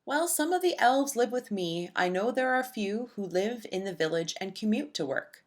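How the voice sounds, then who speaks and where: A woman's voice: mid-range voice, animated, normal speed, natural voice. A woman voicing a friendly man in a Santa suit from a children's storybook, explaining things amiably.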